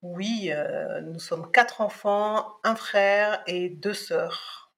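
A woman's voice: mid-range voice, extremely slow, fairly bright tone. A middle-aged woman from Cameroon, a podcast guest giving a personal testimony, thoughtful and hesitant with many restarts.

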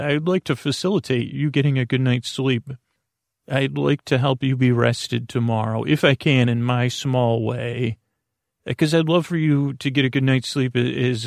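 A man hosting a bedtime podcast in a creaky, soothing voice, meandering haltingly through tangents and interrupting himself to lull listeners to sleep. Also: medium pitch, no echo, studio quality. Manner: soothing, lulling. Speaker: podcast host. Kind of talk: rambling bedtime monologue to help listeners sleep.

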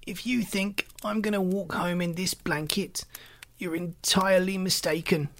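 A querulous, stubborn old man as a storybook narrator acts him out, complaining in a weak, quavering whine. The voice is pitched up high and thin. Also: steady tone, unhurried, a bit bright. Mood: peevish, demanding.

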